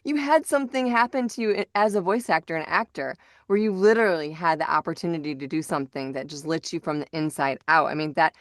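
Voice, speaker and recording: A woman's voice: low-pitched, fast, few pauses, dry recording, studio quality. A woman hosting a podcast in casual chat, effusive and affirming as she talks. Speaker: podcast host.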